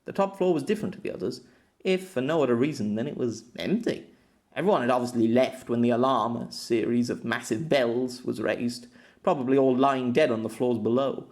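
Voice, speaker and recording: A man's voice: mid-range voice, brisk, darkish tone. Man narrating a fantasy audiobook. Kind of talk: reading his own novel aloud.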